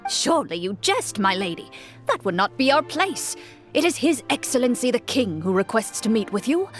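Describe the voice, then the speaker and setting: A woman's voice: on the high side, very animated, moderate pace, faint music behind, voice pushed up. A woman voicing a polite, deferential palace guard in a fantasy game, with formal courtesy.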